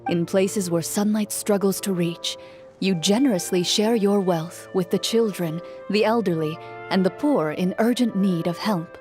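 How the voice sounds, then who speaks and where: A woman voicing a composed, formal game master who narrates a story in measured tones in a video game. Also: medium pitch, a little mellow, soft background music, close to an everyday voice.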